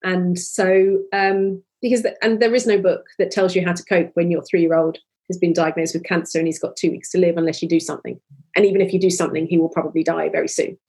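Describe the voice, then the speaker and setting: Husky woman, 40s, candid podcast guest, earnest and animated. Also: lowish voice, fast. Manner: earnest, animated, many restarts. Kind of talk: candid conversational interview.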